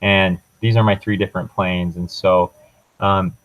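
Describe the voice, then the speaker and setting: A young man giving an informal technical presentation as a student, walking through a software demo in a hesitant, halting way. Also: deep voice, little pitch movement, no room sound, low-quality recording.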